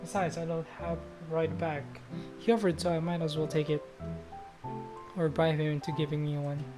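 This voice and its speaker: A woman's voice: sits low, flat delivery, normal speed, over music. A woman streamer reading visual novel narration aloud, now and then stumbling and rereading phrases.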